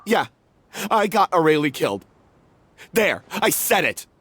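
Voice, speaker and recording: A guilt-ridden troupe member. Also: male voice, on the high side, sing-song, measured pace, fairly bright tone, husky, heavily acted. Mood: remorseful. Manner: confessional, growing desperate self-blame. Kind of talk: video game voice acting.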